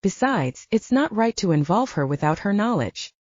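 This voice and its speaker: Woman voicing an easygoing young male werewolf, wide-swinging pitch. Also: very animated, moderate pace, a little mellow, dry recording, lo-fi sound. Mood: earnest.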